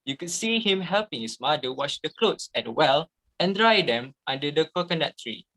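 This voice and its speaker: A man narrating a story as a scripted dramatic reading, with theatrical, deliberate emphasis. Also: on the high side, animated, bright, forceful tone, rough recording.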